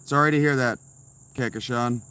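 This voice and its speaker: A man's voice: medium pitch, fairly even intonation, moderate pace, sharp tone, clean tone. A man streaming live from inside a tent, answering chat messages with blunt, sarcastic replies. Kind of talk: casual livestream chat.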